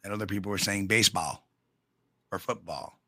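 A man with a New York accent co-hosting a casual live chat show, talking in a folksy, blunt way with drawn-out emphasis. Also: on the low side, sharp tone, no echo, studio quality. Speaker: co-host.

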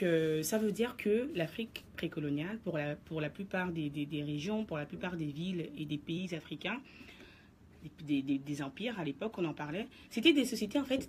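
A woman with a somewhat low voice delivers her radio column in a studio. She explains her argument to listeners in a firm, lecturing manner, with a faint hiss behind her. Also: a little mellow. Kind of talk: radio commentary segment.

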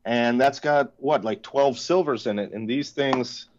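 A man as a podcast guest, telling animated stories in casual chat. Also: mid-range voice, measured pace, lo-fi sound, slight background noise. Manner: animated storyteller, laughs between lines.

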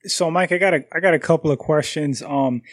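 A man's voice: on the high side, a little fast, no echo, clean studio sound. A man in his mid-thirties co-hosting a podcast, asking questions in casual conversation. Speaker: podcast co-host. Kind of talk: casual interview chat.

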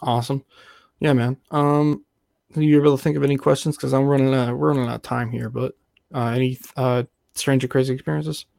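A man's voice: mid-range voice, a little fast, gravelly, no echo. Host man, easygoing questioner, dry close sound. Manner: relaxed, friendly, asks questions loosely with fillers. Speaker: podcast host. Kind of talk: casual interview hosting.

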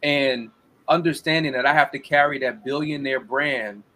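A young man as a guest, chatting casually and acting out the lines he quotes in lively voices. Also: mid-range voice, normal speed, slight background noise. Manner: animated storyteller, acts out quotes. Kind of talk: casual interview talk. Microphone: video call.

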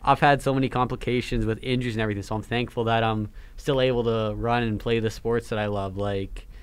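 A man's voice: mid-range voice, steady tone, quick pace, smooth. A young man co-hosting a faith podcast, talking in a rambling way with many restarts and fillers. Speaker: podcast co-host. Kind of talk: casual faith podcast chat.

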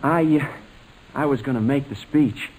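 An actor playing a polite, nervous young suitor in a 1940s radio comedy, speaking in a deferential, hesitant way. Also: male voice, mid-range voice, moderate pace, darkish tone, a little hiss or hum, close to an everyday voice, low-quality recording. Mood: earnest, nervous. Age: young adult.

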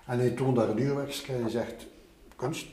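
A man's voice: medium pitch, fairly even intonation, normal speed, warm tone, a little hiss or hum. An older man with a Flemish accent chatting casually as an interviewee, explaining and telling stories with repeated little tags. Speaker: interviewee, art collector. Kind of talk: casual conversational storytelling. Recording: roomy echo with music behind. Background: music.

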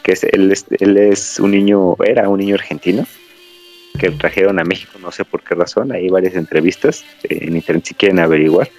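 A man's voice: sits low, fairly even intonation, brisk, a little mellow, faint music behind. A Mexican man hosting a podcast, chatting casually and digressing as he tells stories. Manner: rambling, storytelling, digressive. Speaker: podcast host. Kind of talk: casual chat. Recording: dry, slightly dull lo-fi audio.

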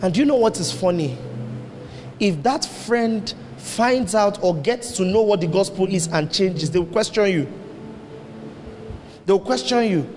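A man preaching a sermon as pastor, emphatic, his voice jumping up into high exclamations. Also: expressive, unhurried, pauses often, a little mellow. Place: church hall. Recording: long hall reverb, faint noise.

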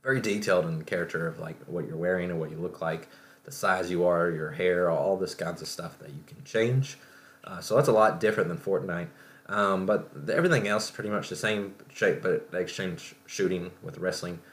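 A man hosting a podcast, talking casually about gaming news in a halting, rambling way, as if thinking aloud. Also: sits low. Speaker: podcast host. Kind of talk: casual solo show talk about video game news.

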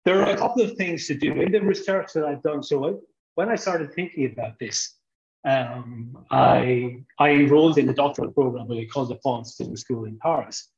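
A man's voice: medium pitch, creaky, darkish tone, highs cut off. Irish man explaining in an echoey room. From Ireland. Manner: measured, explanatory, with occasional restarts. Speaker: guest, technology executive. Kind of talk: podcast interview answers.

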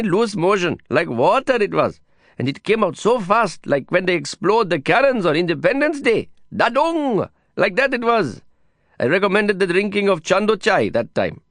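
Man voicing a gossipy neighbor lady, high and sing-song, chattering. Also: normal speed, no echo, clean studio sound, exaggerated voice. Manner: chattering, graphic, lively. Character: gossiping middle-aged woman neighbor.

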